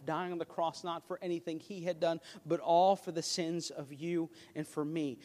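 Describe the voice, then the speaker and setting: A man preaching a sermon as pastor, earnest and emphatic, with a self-deprecating touch. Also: high voice. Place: church sanctuary. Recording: long hall reverb.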